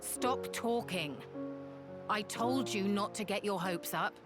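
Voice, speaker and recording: A woman's voice: mid-range voice, slow pace, lightly acted. A woman playing a proud, bitter young retainer in a video game drama, speaking in a guarded, formal way. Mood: angry, cold. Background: loud orchestral strings music.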